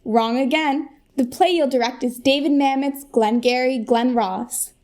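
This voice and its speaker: A young American woman playing an earnest, polished corporate saleswoman giving an emphatic keynote pitch in a comic radio play. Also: unhurried, a little mellow. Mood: persuasive. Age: young adult. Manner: presentational, emphatic.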